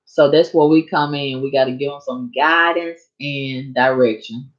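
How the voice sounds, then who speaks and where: A woman chatting casually on a live stream to her viewers, rambling and a bit hoarse from talking so long. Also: a bass voice, steady tone, unhurried, lo-fi sound.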